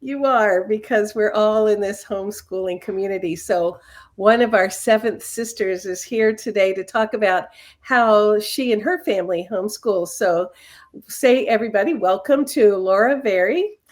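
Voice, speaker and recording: A woman's voice: mid-range voice, moderate pace. A woman hosting a podcast, chatting in an upbeat, enthusiastic way as she interviews a guest. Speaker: podcast host.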